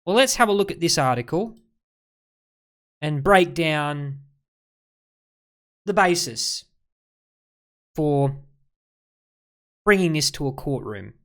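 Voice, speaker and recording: A man's voice: a little high, expressive, slow pace, long pauses, fairly bright tone. A man ad-libbing mocking reaction commentary as host, sarcastic and exasperated. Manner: sarcastic, exasperated, addressing the person he critiques.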